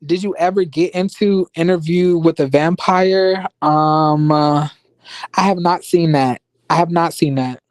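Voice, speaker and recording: A man with an American accent chatting casually as a livestream host, in a sassy, expressive, slangy conversational way. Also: a little high, a little slow, dry recording. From United States, born in rural Florida and raised in Las Vegas. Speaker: YouTube reviewer and livestream host. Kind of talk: casual livestream chat with viewers.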